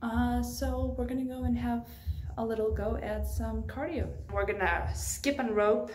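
A woman's voice: fairly high pitch, fairly even intonation, a little slow, a little mellow. A young adult woman talking casually to camera in a product review, informal and thinking aloud, recorded indoors on a camera at arm's length. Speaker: fitness vlogger. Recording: clear background noise.

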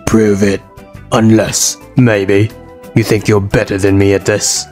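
A cocky fighting man played by a male actor with a lowish voice. He taunts like a swaggering fantasy game warrior, with music underneath. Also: flat delivery, slow, a little mellow. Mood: cocky, taunting. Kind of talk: fan dub voice acting of a fantasy story game. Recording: music behind the voice.

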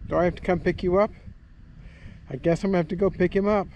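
A man's voice: high voice, fairly even intonation, quick pace, dull audio, a little hiss or hum. A man chatting casually and coaxingly to a dog as he films a vlog outdoors. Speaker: vlogger. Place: outdoors on a rural homestead.

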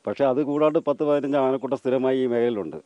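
A man's voice: medium pitch, quick pace, dry recording. Middle-aged man, dry muffled sound. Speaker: local ration shop keeper. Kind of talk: spontaneous news interview answers. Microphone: handheld reporter microphone. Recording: dull, dry lo-fi field recording.

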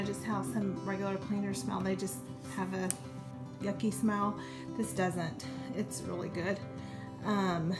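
A young woman, chatting casually with many ums while she shows how she cleans her kitchen. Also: mid-range voice. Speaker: home cleaning vlogger. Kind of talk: casual talk over a cleaning demo. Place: home kitchen, indoors. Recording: music playing under the voice.

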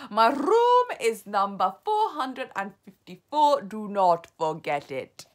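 A woman voicing an imperious, venomous witch who commands her coven in a shouting, domineering storybook delivery with drawn-out emphasis. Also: medium pitch, very animated, voice pushed up. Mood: imperious, commanding.

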